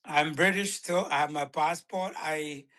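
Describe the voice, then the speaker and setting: A man's voice: fairly high pitch, fairly even intonation, unhurried, sharp tone. A middle-aged man from Ghana chatting casually on a video call, telling his own story as a business owner.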